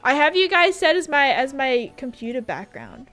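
A woman's voice: high voice, moderate pace, faint music behind. Chatty woman streamer. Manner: chatty, reads viewer messages aloud, excitable. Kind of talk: casual livestream chat. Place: home room at a gaming setup. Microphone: headset.

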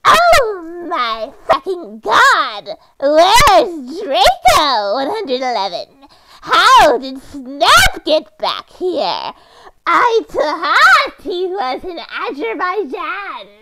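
Whiny teen goth vampire girl for a fanfic, super high, drags her words out. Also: wildly swooping pitch, noisy background, exaggerated voice. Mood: distraught. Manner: whiny, overwrought, drawn-out words. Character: melodramatic, foul-mouthed teenage goth vampire girl. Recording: music behind the voice.

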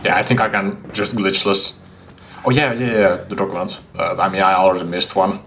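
A man's voice: sits low, brisk, fairly bright tone, slight background noise. A young man livestreaming a game, chatting casually with his viewers as he plays. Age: young adult. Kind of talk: casual gaming stream commentary. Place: indoor room, streaming setup.

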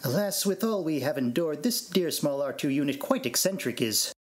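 A man's voice: on the high side, animated, moderate pace, close to an everyday voice. A man acts out an anxious, officious robot servant. The intonation leaps about animatedly, and the phrasing is formal and theatrical, as in a Shakespearean drama reading. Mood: fussy, explaining.

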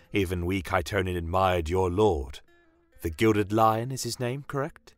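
A man voicing a courteous military commander with a formal, deliberate delivery in a fantasy audio story. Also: sits low, a bit bright, dry recording. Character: courteous, dignified male military commander of a desert empire.

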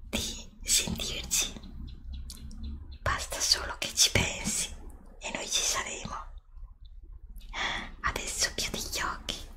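A gentle royal of a fairy-tale kingdom played by a woman speaks in a slow whisper. The manner is solemn, grateful and reassuring, made for ASMR roleplay. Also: rumbling low, voice pushed down. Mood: soothing.

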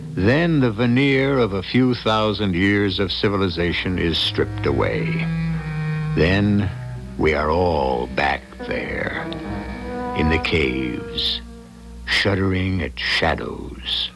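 Mystery anthology host: very low, gravelly, theatrical, ominous scripted narration over music. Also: male voice, heavily acted. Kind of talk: 1970s radio scripted narration.

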